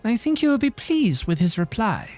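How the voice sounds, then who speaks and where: Man playing a young noble diplomat, composed, high-minded, formal diction, lively intonation, fantasy game. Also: faint music behind. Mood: composed, lofty. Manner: formal, lofty, measured phrasing.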